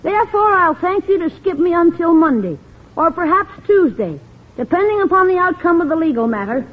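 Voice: very high-pitched, expressive, fairly quick. A performer playing a cheeky, wisecracking young American nephew in an old-time radio comedy. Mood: wry, nagging. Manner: cheeky, wry. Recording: tinny, noisy old radio recording.

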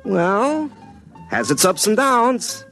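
Folksy, animated young telephone lineman. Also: high-pitched, slow pace, soft background music, exaggerated voice. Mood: sheepish, joking. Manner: rambling, colloquial, joking. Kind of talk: 1950s radio comedy acting.

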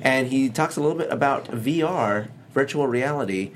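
A man co-hosting a casual chat show, joking and animated. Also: medium pitch. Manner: animated, jokey, quick to laugh. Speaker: podcast co-host.

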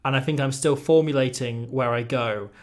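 A man from Wales in his thirties chats as a guest on a rugby podcast. He talks casually and keeps slipping in dark, deadpan jokes. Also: mid-range voice, normal speed. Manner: joking, dry gallows humour.

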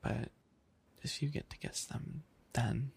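Man playing a husky, flustered animal-boy maid. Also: medium pitch, slow, exaggerated voice. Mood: embarrassed, scolding. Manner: flustered, stammering, pouty. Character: bashful, fussy young animal-boy maid café server. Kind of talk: roleplay ASMR acting.

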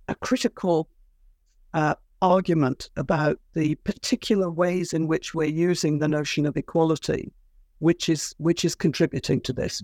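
A woman's voice: deep voice, gravelly, a little mellow, no room sound, clean studio sound. A woman, an author being interviewed, who answers thoughtfully and hesitantly with many fillers and restarts. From Britain. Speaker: author and guest.

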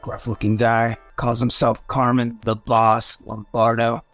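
An actor playing a rough, swaggering ghoul mayor of a seedy town, slangy and irreverent, in a radio drama. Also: male voice, medium pitch, little pitch movement, a little slow, a bit bright, soft background music. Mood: gruff, irritated. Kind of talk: old-time radio drama acting.

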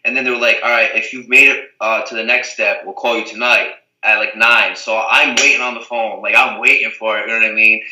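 A man's voice: lowish voice, steady tone, fairly quick, few pauses, bright, forceful tone, reverberant, far from the mic, poor audio. A young man from the Azores, a reality TV guest, chatting casually and rambling with lots of "like" and "you know what I mean". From Portugal, the Azores. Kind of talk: casual interview chat.

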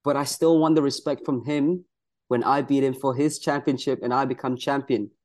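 A man's voice: mid-range voice, fairly even intonation, normal speed, darkish tone. A young man from Malaysia, a pro wrestler, answering interview questions in an earnest, proud way, with many "you know" fillers.

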